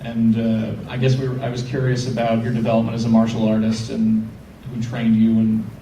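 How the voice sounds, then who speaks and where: A man's voice: lowish voice, completely flat delivery, brisk, mellow. Chatty man moderating in an echoey hall. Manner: chatty, joking interviewer. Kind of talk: live audience Q&A. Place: theater hall with audience. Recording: echoey hall from far off, noisy.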